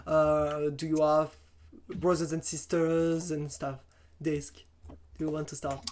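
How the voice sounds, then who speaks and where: A talk show host with a mid-range voice chats casually with guests. The phrasing is hesitant and non-native, in the relaxed style of an online gaming show. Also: medium pitch, takes it slowly, slight background noise.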